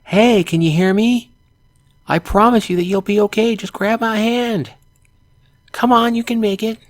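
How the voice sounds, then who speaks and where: A man's voice: sits high, fairly even intonation, a little slow, no room sound, pitched above the performer's own voice. A man reading a visual novel aloud, voicing a frantic young girl in a raised, strained calling voice. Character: frantic young girl, bratty but caring.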